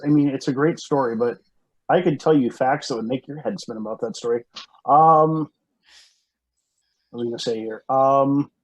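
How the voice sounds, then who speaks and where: A man's voice: a little high, low-quality recording. A man talking casually on a group video call, hesitant and rambling, with bursts of emphasis. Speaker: panelist, organizer of fan script readings.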